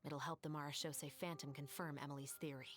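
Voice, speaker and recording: An actress playing a composed, dutiful young guard officer in a video game, formal and firm. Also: female voice, sits low, steady tone, quick pace, fairly bright tone. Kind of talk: video game character acting.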